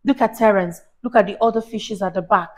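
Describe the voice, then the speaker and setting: A young woman reading a story aloud to a child in an expressive, encouraging, teacherly way, often prompting with questions. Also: medium pitch, brisk. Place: small indoor room on a sofa. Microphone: lapel mic.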